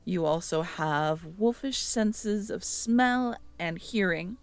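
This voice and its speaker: A woman's voice: mid-range voice, lively intonation, takes it slowly, clear, resonant tone. A woman in an intimate audio roleplay, musing aloud as she reads through notes and checks back with the listener.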